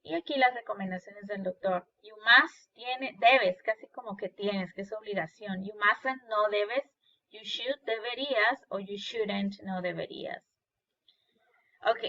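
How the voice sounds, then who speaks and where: A woman's voice: medium pitch, measured pace, bright, forceful tone, muffled recording. A woman English teacher with a Spanish accent, patiently explaining a worksheet and translating each phrase into Spanish. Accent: Spanish-speaking. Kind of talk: recorded lesson walking through a worksheet.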